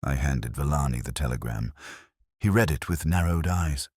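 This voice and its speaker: A man's voice: rumbling low, moderate pace, no echo, studio quality. A man reading a scripted first-person mystery story in a solemn, literary and dramatic manner. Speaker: audiobook narrator.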